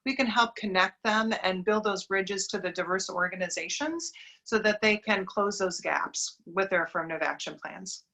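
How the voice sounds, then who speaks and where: A woman's voice: mid-range voice, moderate pace, sharp tone, low-quality recording. A middle-aged woman with a Wisconsin accent, talking upbeat and at length as a guest on an interview podcast. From Wisconsin, USA. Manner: upbeat, talkative, frequent affirming murmurs. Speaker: guest, diversity recruiting business owner.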